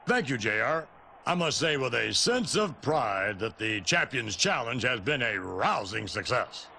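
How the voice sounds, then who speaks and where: A man's voice: mid-range voice, very animated, unhurried, vocal fry. A man playing a pompous, domineering wrestling company boss, announcing in a grandiose, emphatic and theatrical manner. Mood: proud, formal. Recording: noisy game capture with background ambience.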